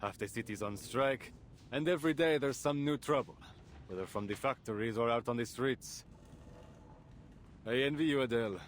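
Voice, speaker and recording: An actor playing a weary, exasperated police officer in a game, formal and dryly sardonic. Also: male voice, mid-range voice, moderate pace, long pauses, natural voice. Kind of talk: dramatic game voice acting. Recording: music behind the voice. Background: music.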